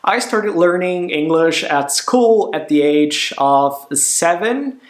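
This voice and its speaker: Young male vlogger, from southern Brazil, friendly and upbeat, slightly high voice, roomy echo. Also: lively intonation, slow. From Curitiba, southern Brazil. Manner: chatty, upbeat, switches languages between sections. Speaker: language vlogger. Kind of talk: casual direct-to-camera vlog.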